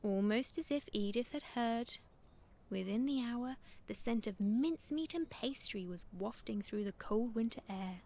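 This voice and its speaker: Woman reading a kids storybook tale, voice on the high side. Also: expressive, measured pace, warm tone. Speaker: storyteller and author. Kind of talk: scripted children's story narration.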